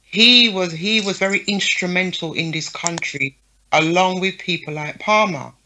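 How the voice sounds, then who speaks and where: Frank, bright-voiced woman promoter. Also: on the low side, moderate pace, slight background noise, poor audio. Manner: frank, lists names, checks in with "yeah?" and "right?". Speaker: guest, show promoter. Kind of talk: casual interview talk.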